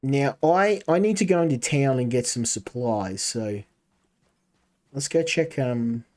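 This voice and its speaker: A man's voice: mid-range voice, animated, unhurried, a little mellow. A man streaming a game, chatting casually and rambling while talking to his chat. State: coming down with a cold.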